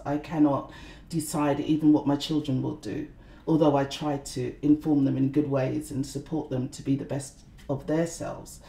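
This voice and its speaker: Gravelly, dark-voiced middle-aged woman. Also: low voice, echoey room, low-quality recording. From London, England. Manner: earnest, frequent "you know" fillers. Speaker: guest, blogger and conference organiser. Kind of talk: reflective interview talk.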